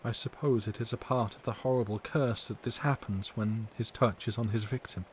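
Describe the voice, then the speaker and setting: A man voicing a distressed but tender and brave young wife, recounting in an earnest, measured, formal way for an audiobook. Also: lowish voice, lightly acted. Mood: anguished. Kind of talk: dramatic audiobook character reading. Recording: steady background noise.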